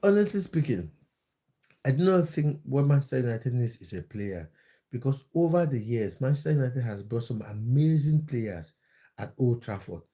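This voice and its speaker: A man's voice: mid-range voice, expressive, normal speed, dark tone. Cameroon-born man chatting on a livestream. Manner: reads out viewer comments and answers them, with many fillers and repeats. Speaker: livestream host answering chat. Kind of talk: casual livestream chat about football.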